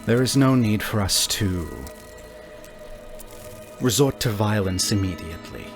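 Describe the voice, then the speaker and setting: Man voicing a formal, measured enigmatic tower leader. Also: on the low side, expressive, soft background music. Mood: composed, formal. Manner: formal, measured, stately, speaks as "we".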